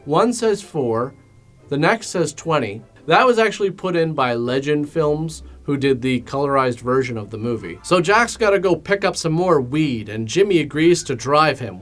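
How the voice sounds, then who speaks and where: Higher-voiced man doing sarcastic film recap. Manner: sarcastic, mock-dramatic, casually crude. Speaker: host of a film commentary show. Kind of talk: comedic recap narration.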